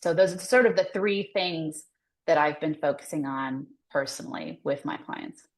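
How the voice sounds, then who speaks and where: A woman's voice: low voice, normal speed. An American woman explaining her work as a career coach in a conversational, loosely phrased interview style. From United States. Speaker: career coach, podcast guest.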